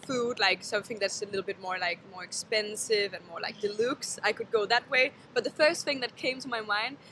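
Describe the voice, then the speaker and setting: A woman's voice: mid-range voice, a little fast, sharp tone. A young woman with a Danish accent, a native Danish speaker, answering questions in a giggly, hesitant, casual chat on a beach. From Denmark. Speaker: interviewee. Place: outdoors at a beach. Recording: noisy outdoor ambience.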